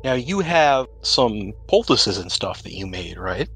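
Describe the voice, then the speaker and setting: A man acts as game master for a tabletop roleplaying session. He narrates conversationally in a medium-pitched voice. Soft music plays faintly underneath. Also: very animated, unhurried.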